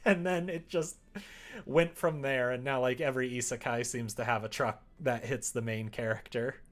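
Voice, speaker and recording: A man with an average-pitched voice talks casually on a livestream as he works. He thinks out loud, rambling and often hesitating as he finds his way through a thought. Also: fairly even intonation, normal speed. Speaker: livestreamer making a visual novel. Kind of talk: casual livestream chat while working. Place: indoors at home.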